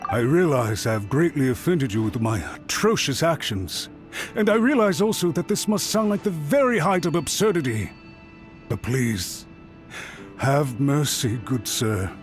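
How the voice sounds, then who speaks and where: A man's voice: on the high side, lively intonation, a little slow. An actor playing an anxious, apologetic male official who speaks with deference and pleads for a second chance, in a studio game. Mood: pleading. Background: music.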